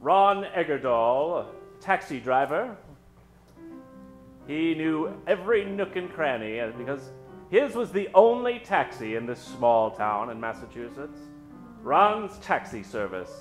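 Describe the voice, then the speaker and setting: A man hosting a live old-time radio show, introducing characters from the stage in a dramatic, drawn-out storytelling style. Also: fairly high pitch. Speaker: host and announcer. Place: stage before a live studio audience. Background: musical accompaniment.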